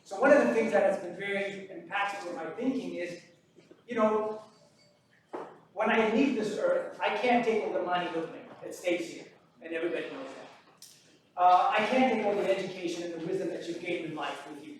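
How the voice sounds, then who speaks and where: A man gives an earnest motivational talk at a community seminar, repeating points and asking rhetorical questions. His voice is notably high for a man. It rings out in a very echoey hall, caught from a distance. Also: normal speed, a little hiss or hum. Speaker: pharmacist and teacher speaking at seminar.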